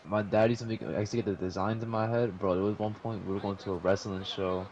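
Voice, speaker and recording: A man with a fairly low voice banters casually with his friends. He interjects in slangy, profane bursts. Clear chatter sits behind him. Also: flat delivery, fast talker, highs cut off.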